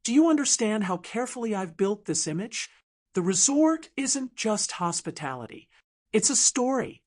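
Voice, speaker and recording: A woman's voice: on the high side, very animated, moderate pace, a little mellow. Woman voicing a cold, controlling male executive. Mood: controlled anger. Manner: corporate, unyielding. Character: cold, controlling male billionaire resort CEO.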